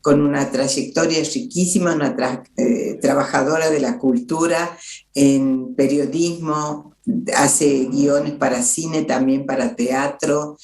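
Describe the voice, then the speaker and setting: A woman hosting an interview, speaking haltingly with frequent restarts. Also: low voice, fairly even intonation, a little mellow, roomy sound. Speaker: host. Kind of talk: casual interview.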